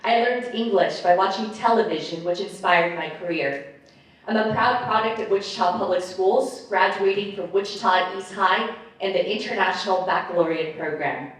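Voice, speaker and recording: A woman in her late thirties from Guatemala, giving a measured, rehearsed campaign speech at a forum. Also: lowish voice. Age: late 30s. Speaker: mayoral candidate. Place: large echoey hall with audience. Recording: echoey hall, speaker far from mic.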